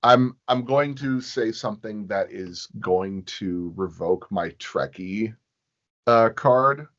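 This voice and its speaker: A man chatting casually as an interview guest, halting and often circling back to rephrase his thoughts. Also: fairly low pitch, fairly bright tone, dull audio. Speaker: podcast guest, sound designer.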